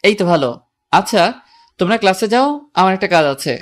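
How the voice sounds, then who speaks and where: A woman voicing a composed, bossy young husband, giving calm instructions in a romantic audio story. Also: medium pitch, normal speed, lightly acted. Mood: polite. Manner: calm, directive.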